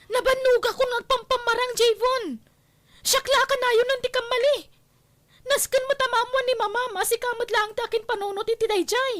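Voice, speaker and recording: Woman acting a very high-pitched, defiant spurned lover. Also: steady tone, a little fast, fairly bright tone. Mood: accusing, scheming. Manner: taunting, confrontational. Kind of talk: Ilocano radio drama acting.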